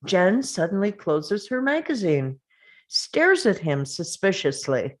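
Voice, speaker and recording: A woman's voice: medium pitch, very animated, takes it slowly. A woman reading stage directions as the narrator of a screenplay table read.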